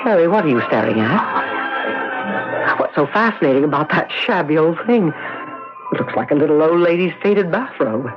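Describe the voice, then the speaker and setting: A woman's voice: sits low, expressive, normal speed, long pauses. An actress playing a bossy, snobbish rich wife who scolds her husband imperiously, in 1970s radio drama style. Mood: scornful. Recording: muffled vintage radio recording, music behind.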